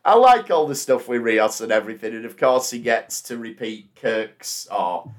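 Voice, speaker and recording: A man's voice: medium pitch, expressive, moderate pace. A man hosting a casual podcast about TV and film, chatty, sweary and acting out quoted lines. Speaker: podcast host.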